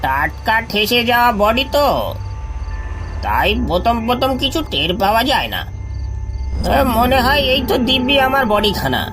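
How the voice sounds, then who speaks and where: A man acts out a wary, sardonic villager in a cartoon ghost story. His delivery is animated, drawn-out and ominously knowing, over faint music and crickets. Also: very high-pitched, full character voice.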